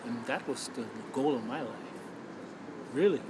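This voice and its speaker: Older bahamian man, frank and reflective, halting speech, loud traffic behind. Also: medium pitch. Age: 70s. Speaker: interviewee. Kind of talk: candid street interview. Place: outdoors on a city street. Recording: loud street traffic noise. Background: city traffic.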